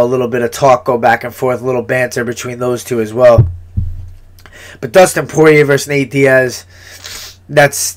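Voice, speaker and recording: A man who is a fighter giving a casual, rambling fight breakdown, with a congested, nasal voice and frequent sniffling. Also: medium pitch. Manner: rambling, repeats words, swears casually.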